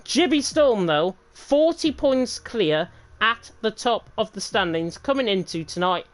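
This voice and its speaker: A woman talking fast as co-commentator on a sim race, her voice at an everyday middle pitch. She sounds lively and leans hard on the key figures. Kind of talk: live sim racing commentary.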